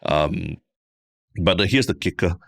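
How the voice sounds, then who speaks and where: A man's voice: low-pitched, unhurried, gravelly, a bit bright, no echo, studio quality. A young Singaporean man co-hosting a podcast, telling a long story in a chatty way with lots of "lah" and restarts. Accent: Singaporean English. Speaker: podcast co-host. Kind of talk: casual chat.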